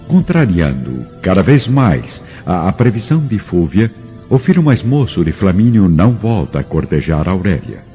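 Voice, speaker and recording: A man's voice: low voice, sing-song, moderate pace, dark tone. A man with a Brazilian accent giving dramatic scripted narration for a radio drama. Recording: muffled radio production with music.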